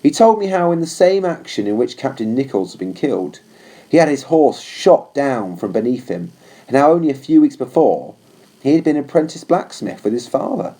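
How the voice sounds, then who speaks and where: A man's voice: mid-range voice, very animated, normal speed, mellow. A man reading a scripted story aloud as narrator of an audiobook. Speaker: audiobook reader.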